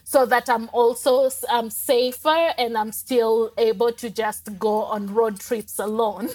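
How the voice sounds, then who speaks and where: Kenyan woman, casual guest on a travel podcast, fairly high pitch, talks in loose, laughing bursts. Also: steady tone, measured pace, darkish tone. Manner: chatty, laughs often, many fillers and restarts. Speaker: podcast guest, digital nomad. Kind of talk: casual interview chat.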